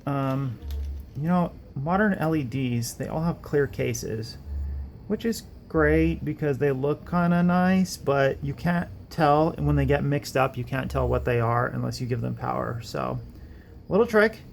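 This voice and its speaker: A man narrates a hands-on electronics project in a casual, unscripted ramble. His mid-pitched voice has a lot of gravelly creak, and he tends to poke fun at his own work. There is faint noise behind him. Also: expressive, measured pace. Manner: rambling, self-deprecating. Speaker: electronics hobbyist host. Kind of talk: casual workbench build narration.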